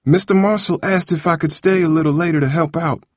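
An earnest teenage boy in an audiobook, acted out by a male narrator. He sounds defensive and pleading, with a pitch lifted above the man's usual voice. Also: a little fast, no room sound, studio quality, lightly acted. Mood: making excuses. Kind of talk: audiobook character dialogue.

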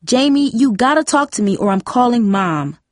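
A woman's voice: fairly high pitch, expressive, moderate pace, no echo, clean studio sound, pitched above the performer's own voice. A woman voicing a protective, persistent teenage older sister, earnest and pressing, in a story reading. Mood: insistent.

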